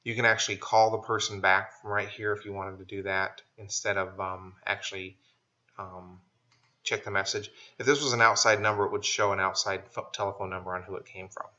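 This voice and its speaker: An American man giving an informal, plain-spoken software tutorial as a voice-over. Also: lowish voice. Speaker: software trainer. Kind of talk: casual screen-share tutorial narration. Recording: dull lo-fi voice-over.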